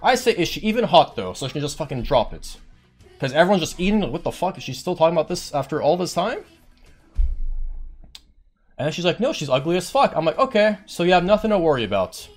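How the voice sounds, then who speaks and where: A man's voice: fairly high pitch, fast, soft background music. A man streamer ranting to his chat in casual talk, swearing often and acting out what others said to him. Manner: heated, petty, swearing, quotes others in raised voice; says he has a monotone voice.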